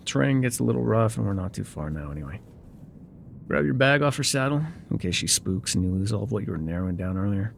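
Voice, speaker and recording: An actor playing a gruff, guarded male trail guide in an immersive audio roleplay, with wry, folksy, laconic phrasing. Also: on the low side, animated, fast, a little hiss or hum. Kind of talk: immersive ASMR roleplay acting, talking to the listener.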